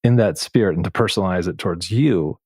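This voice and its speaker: A man's voice: deep voice, a little mellow, breathy edge, no room sound, clean studio sound. A man hosting a reflective interview podcast, speaking thoughtfully and feeling his way through his phrasing. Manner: thoughtful, searching phrasing with pauses.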